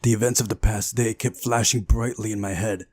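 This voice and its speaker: A man's voice: on the low side, steady tone, fairly quick, darkish tone, no echo. A man hosting a visual novel playthrough, reading the game's narration aloud and adding casual commentary.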